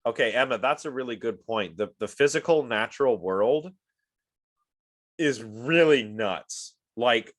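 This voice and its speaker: A man's voice: mid-range voice, animated, measured pace. A man giving a casual online lecture on a video call and reacting to the chat. He is sniffly from allergies and rambles with lots of fillers and restarts.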